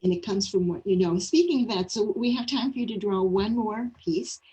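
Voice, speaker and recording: A woman's voice: mid-range voice, brisk, warm tone, rough recording. A woman casually hosting an art session, prompting and affirming in an encouraging way. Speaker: host of a children's art session.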